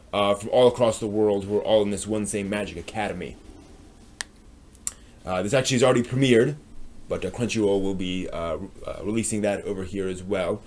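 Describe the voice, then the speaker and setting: An American man with a fairly low voice hosts a live stream news segment. His delivery is casual and unscripted, with a hesitant, halting flow as he thinks aloud. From United States. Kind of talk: casual anime news rundown.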